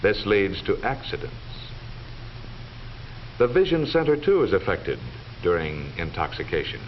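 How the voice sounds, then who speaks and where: A middle-aged American man giving formal, measured, authoritative scripted narration in the manner of a 1940s educational film. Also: low voice, very animated. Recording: dull vintage 1940s film soundtrack, faint noise.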